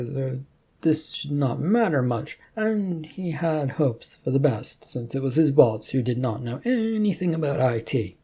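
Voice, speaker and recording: Very deep, husky woman narrator. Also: mellow. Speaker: narrator and storyteller. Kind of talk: scripted story narration.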